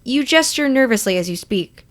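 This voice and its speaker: A woman reading a story aloud as expressive, scripted second-person narration. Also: high-pitched, moderate pace. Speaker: podfic reader.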